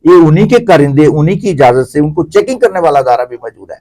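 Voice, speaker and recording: A man's voice: on the high side, expressive, dark tone. A man with a Pakistani accent explaining things conversationally as an interview guest, piling up examples and repetitions.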